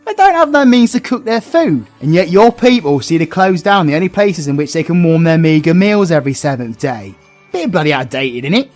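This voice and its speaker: A man's voice: fairly high pitch, sing-song, fairly quick, a little mellow, faint music behind. A British man playing a cocky, foul-mouthed villain cast as a reluctant Scrooge in a comedic audio play, full of cheeky sarcasm. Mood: wry. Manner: cheeky, sarcastic, crude banter. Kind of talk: comedic audio roleplay acting.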